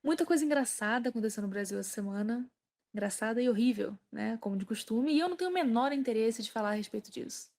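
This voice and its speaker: Deadpan Brazilian woman, creaky higher voice. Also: fast talker. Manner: deadpan, ironic. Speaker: humour podcast host. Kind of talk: scripted comic monologue.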